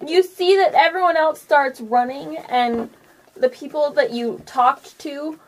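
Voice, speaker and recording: High-voiced woman running a tabletop game. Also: very noisy. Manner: halting, improvising. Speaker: game master. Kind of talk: casual tabletop game narration.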